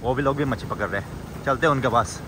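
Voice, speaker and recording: Young guy vlogging by a lake, excited, keeps saying look at this, lots of water noise. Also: medium pitch. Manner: excited, keeps pointing things out to viewers. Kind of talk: casual vlog narration. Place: outdoors by a lake. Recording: noisy outdoor recording with rushing water.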